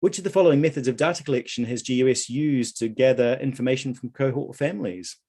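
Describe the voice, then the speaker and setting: A man hosting a live online quiz, reading out questions and answer options in a measured way. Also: mid-range voice, warm tone, breathy edge. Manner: reads options aloud in a measured list. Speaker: quiz host at an online conference.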